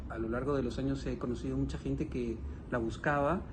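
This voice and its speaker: A man in a conversational book discussion as an interview guest, often pausing and searching for his words. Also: medium pitch, steady tone. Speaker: guest, writer. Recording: clearly noisy recording.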